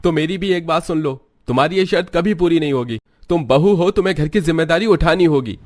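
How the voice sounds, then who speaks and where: Man acting a higher-pitched, aggrieved cartoon husband. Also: fairly quick, darkish tone, lightly acted. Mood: stern. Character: put-upon men of a household, firm and aggrieved. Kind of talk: cartoon story dialogue.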